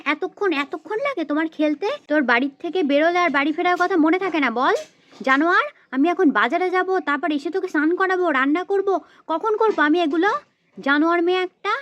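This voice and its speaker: Woman acting a high-voiced, nagging mother. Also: lively intonation, fairly quick, a bit bright, highs cut off. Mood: angry scolding. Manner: nagging, repetitive, threatening. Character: exasperated, scolding mother of a naughty little girl. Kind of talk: amateur children's play-acting story.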